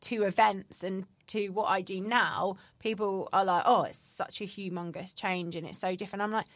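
A woman's voice: mid-range voice, fairly even intonation, normal speed, dry recording. A woman in her thirties, chatting candidly as a podcast co-host with lots of fillers. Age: 30s. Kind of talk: casual chat.

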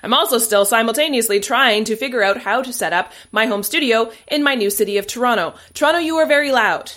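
A woman's voice: a little high, fast talker, a bit bright. A woman hosting a podcast from her home studio, effusive and chatty as she introduces her guest. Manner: bubbly, effusive. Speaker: podcast host. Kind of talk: casual podcast interview.

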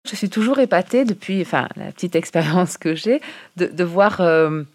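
Woman teacher musing about her pupils, pausey, thinks out loud. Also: fairly low pitch, animated. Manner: thoughtful, many fillers and restarts. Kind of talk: reflective interview comments.

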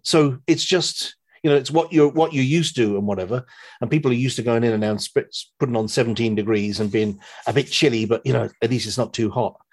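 A man with a husky voice chatting casually, retelling stories in a rambling, animated way with some swearing. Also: medium pitch, a little fast. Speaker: podcast guest. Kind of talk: casual chat, retelling a story.

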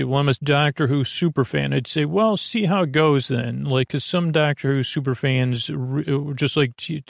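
Male sleep-podcast host: gravelly, soft, meandering and halting, very dry close sound. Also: mid-range voice, no echo. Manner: meandering, halting, circles back and loses his thread; gentle, soft delivery. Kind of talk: rambling, soothing bedtime monologue.

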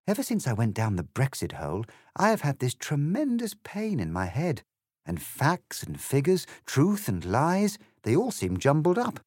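A man's voice: a little high, huge pitch swings, normal speed, darkish tone, dry recording, clean studio sound, pitched above the performer's own voice. A man voicing a polite, confused young girl in a storybook, with a fretful, melancholy manner. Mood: bewildered.

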